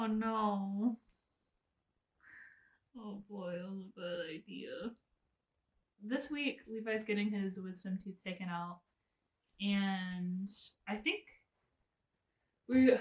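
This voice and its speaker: A young woman talking casually to camera in a weekend vlog, chatty and laughing often between sentences. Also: mid-range voice. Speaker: vlogger.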